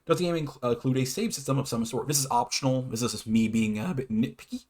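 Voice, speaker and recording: A man's voice: medium pitch, quick pace, darkish tone. A man hosting an informal video explainer, half reading and half ad-libbing, often stumbling, correcting himself and chuckling between phrases. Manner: hesitant, stumbles over words and self-corrects. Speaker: YouTube host presenting his own definitions.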